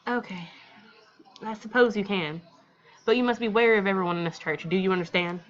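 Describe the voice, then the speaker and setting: A woman's voice: medium pitch, brisk, dull audio, natural voice. A woman voicing a stern, guilt-tripping mother from a visual novel, commanding and falsely tender. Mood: grudging, reproachful. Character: menacing, guilt-tripping, smothering mother to a fearful daughter.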